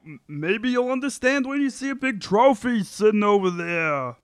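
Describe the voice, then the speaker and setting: A man's voice: sits high, lively intonation, moderate pace, smooth, no room sound, clean studio sound. A man playing a whiny, defensive sitcom husband in a script table read. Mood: defensive, pleading.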